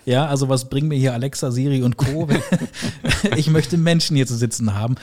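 A man with a medium-pitched voice works as a podcast host. He talks conversationally and effusively, often doubling words for emphasis, as if face to face with a guest in a studio. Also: moderate pace, a little mellow. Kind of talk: warm-toned conversational interview and sign-off. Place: indoor studio, in person with guest.

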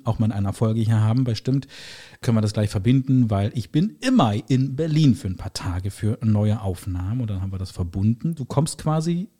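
Guy hosting a podcast, normal pitch, chatty. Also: dark tone. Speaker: podcast host.

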